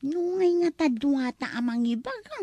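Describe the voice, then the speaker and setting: A woman's voice: sits high, big pitch swings, moderate pace, warm tone, dry recording. A woman with an Ilocano accent playing a plaintive, headstrong young woman in a comedy-drama. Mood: whiny, insistent.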